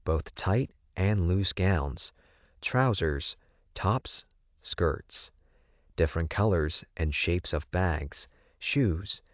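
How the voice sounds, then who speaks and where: A man's voice: deep voice, fairly bright tone, no echo, highs cut off. A man reading first-person fiction aloud as an audiobook narrator, in a scripted storytelling style.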